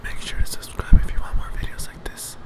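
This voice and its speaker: A young man hosting a joking ASMR video in a breathy whisper, right up against the microphone in a home kitchen. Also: fast talker. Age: young adult. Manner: mock-soothing, joking. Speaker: vlogger, host. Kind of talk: ASMR parody, whispered chat to viewers. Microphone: table-top microphone very close to the mouth.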